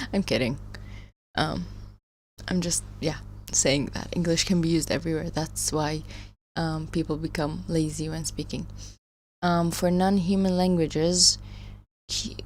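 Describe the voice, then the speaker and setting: Woman livestream host, unhurried hesitant chat with fillers, gravelly low-ish voice. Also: a little mellow, a little hiss or hum. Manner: hesitant, frequent ums, laughs often.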